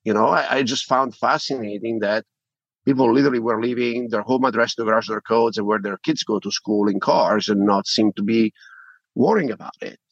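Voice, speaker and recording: A man's voice: fairly low pitch, brisk, no room sound, dull audio. A middle-aged man with an Italian accent, a podcast guest talking animatedly and often repeating words as he restarts. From Italy. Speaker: podcast guest, company founder. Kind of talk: interview conversation.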